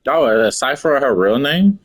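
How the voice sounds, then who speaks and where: A man's voice: medium pitch, sing-song, moderate pace. A man reacting to a video game as a streamer, chatting casually in blunt slang with swearing.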